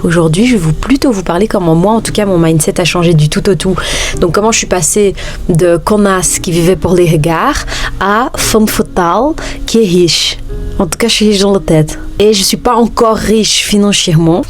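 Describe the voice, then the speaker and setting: A woman's voice: mid-range voice, moderate pace, faint music behind. A woman in her thirties talking casually and playfully as a vlogger, sassy and joking, slipping between French and Dutch. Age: 30s. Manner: playful, sassy, jokes often. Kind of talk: casual chatty vlog.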